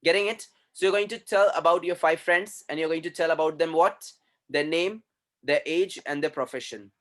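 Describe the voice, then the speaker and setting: A man's voice: fairly high pitch, normal speed, fairly bright tone. Man teaching an online English class. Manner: repeats instructions, corrects pronunciation.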